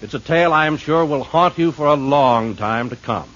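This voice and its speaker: A man announcing a radio drama in a scripted, formal, theatrical 1950s style, with a husky voice. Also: on the high side, normal speed, fairly bright tone. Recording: muffled 1950s radio recording.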